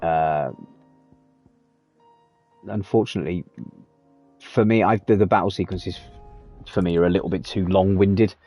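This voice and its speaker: A man's voice: low voice, fairly even intonation, unhurried, pauses often, warm tone, faint music behind. Rambling male game streamer. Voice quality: chatty, rambling, swears casually. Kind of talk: casual live commentary.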